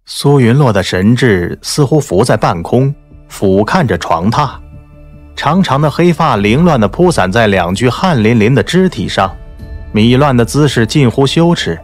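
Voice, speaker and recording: A man's voice: mid-range voice, lively intonation, normal speed, faint music behind. A man reading scripted dramatic narration from a romance novel. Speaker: audiobook narrator.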